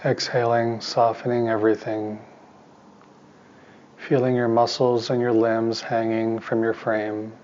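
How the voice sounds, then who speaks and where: A man teaching meditation in a soft voice, giving calm, soothing instructions in short, spaced phrases. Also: mid-range voice, measured pace, lo-fi sound, slight background noise. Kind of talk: guided meditation.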